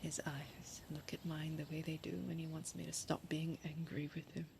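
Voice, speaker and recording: An actress playing a heartbroken, anxious young woman in an audio drama, speaking intimately and deliberately, as if confessing. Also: sits low, flat delivery, creaky, noisy background. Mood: hushed, wounded. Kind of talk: audio drama monologue and dialogue.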